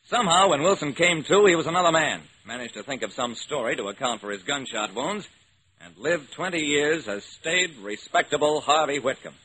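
A man's voice: on the high side, animated, brisk, sharp tone. An actor playing a wry, level-headed investigator, narrating his case in a clipped, matter-of-fact way in an old-time radio drama. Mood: summing up. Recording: noisy old radio recording.

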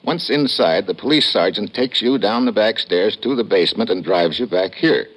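An actor playing a tough, dogged American investigator in an old radio drama, talking and narrating in a clipped, slangy way. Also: male voice, lowish voice, animated, normal speed. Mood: brisk, determined. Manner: clipped, slangy, hard-boiled. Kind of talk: 1950s radio drama acting with first-person narration. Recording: muffled, noisy old radio recording.

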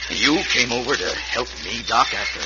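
An actor playing a dazed, remorseful farmer in an old radio western, halting and repeating his words. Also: mid-range voice, lightly acted. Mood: shaken. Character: Frank, dazed, bewildered farmer, remorseful brother. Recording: hissy, dull vintage radio recording.

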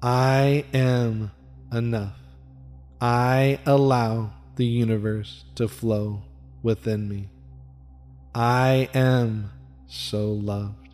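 A man leading a guided meditation in a soft, soothing voice with a slow, repetitive cadence. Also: mid-range voice, fairly even intonation. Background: faint music with gong.

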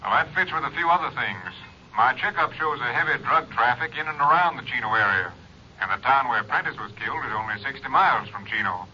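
A man acting a brisk, efficient Texas Ranger captain, businesslike and decisive, in a 1950s radio drama. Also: mid-range voice, animated, bright, forceful tone, lo-fi sound, slight background noise.

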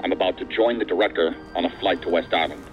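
Male federal agency boss played by a man, very low and fast-talking, dryly defiant, sci-fi audio drama. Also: muffled recording, music in the background, lightly acted. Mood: brisk, firm. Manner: dry, formal, authoritative. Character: unflappable, dryly defiant male assistant director of agents. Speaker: actor in a science fiction audio drama.

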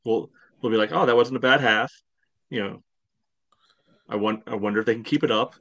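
A man's voice: medium pitch, brisk, a bit bright, highs cut off. A man in casual sports podcast talk as a panelist, with a wry, sardonic way of speaking.

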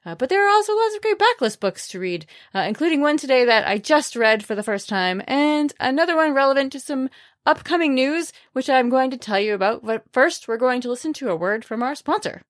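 A woman's voice: sits high, sing-song, brisk, no room sound, clean studio sound. A woman hosting a podcast, talking casually and chattily about books with playful, wry humor. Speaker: podcast host. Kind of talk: casual, chatty solo book talk.

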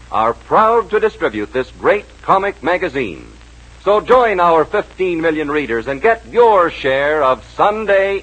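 Male American announcer for vintage radio serial, husky, highish, very animated, emphatic scripted delivery. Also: rough recording. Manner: dramatic, emphatic. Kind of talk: 1940s radio announcing, dramatic scripted narration.

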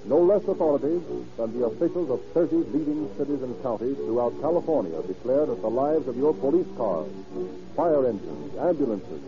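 An American man works as a 1930s radio announcer, formal and declamatory. His mid-pitched voice comes over a dull vintage recording with music. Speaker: announcer and narrator.

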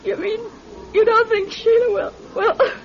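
A woman's voice: very high voice, little pitch movement, a little slow, over a noisy crowd. An actress playing an anxious, distraught woman in a radio drama, pleading and emotional, fearing for her sister. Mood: tearful, guilty. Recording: muffled vintage radio recording, hissy.

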